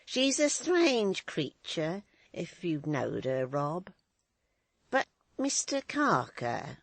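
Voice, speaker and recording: A reader voicing a sly, prying old woman in an audiobook, slow and coaxing with a breathy edge. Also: medium pitch, big pitch swings, dry recording, pitched above the performer's own voice, heavily acted. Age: older. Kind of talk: audiobook character voice.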